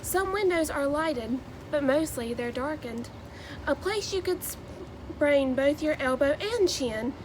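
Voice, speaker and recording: A woman, reading aloud to children in a deliberate, sing-song storybook way. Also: high voice, lively intonation, slight background noise. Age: 20s. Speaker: new education graduate reading a children's book.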